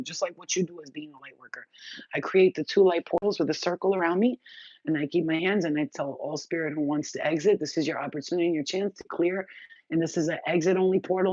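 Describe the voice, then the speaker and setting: A woman's voice: low-pitched, warm tone, husky, lo-fi sound. Blunt, profane woman preaching to viewers. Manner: addresses viewers directly, reads their comments aloud. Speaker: spiritual healer livestream host. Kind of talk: spontaneous spiritual livestream talk.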